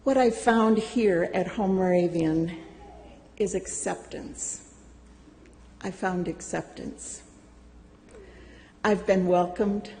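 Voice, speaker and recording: An older woman reading a prepared personal testimony to a church congregation, slow and deliberate with gentle humor. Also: fairly low pitch, in a large hall, slight background noise, low-quality recording. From United States. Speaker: church member giving a testimony. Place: church sanctuary.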